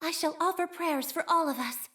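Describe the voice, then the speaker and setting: An actress voicing a soft-spoken, devout young woman scholar of a religious order in a video game, speaking formally and solemnly. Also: extremely high pitch. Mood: earnest, urgent. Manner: formal, solemn, measured, reverent. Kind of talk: scripted video game character voice lines.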